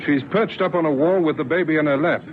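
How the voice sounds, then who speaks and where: Actor as brisk patrolman, noisy recording. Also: on the high side, close to an everyday voice. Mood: urgent, businesslike. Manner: clipped, urgent radio procedure. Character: brisk, worried patrol policeman reporting to control. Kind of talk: vintage radio drama acting. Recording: muffled vintage radio, clear background noise.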